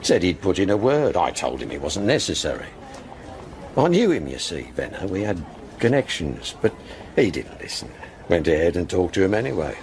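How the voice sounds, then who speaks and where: A man's voice: sits low, sing-song, moderate pace, a little mellow, slight background noise. A man acting in a radio drama as a world-weary, proud older club owner, speaking in wry, clipped fragments. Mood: wry, rueful.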